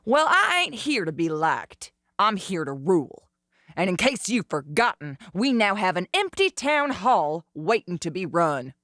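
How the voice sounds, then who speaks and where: Low, drawling ruthless outlaw gunslinger. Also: female voice, big pitch swings, measured pace, a bit bright, no room sound, clean studio sound. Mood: smug, commanding. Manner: taunting, domineering, theatrical insults. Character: ruthless, scheming female gunslinger outlaw hungry for power. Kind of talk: dramatic western audio drama acting.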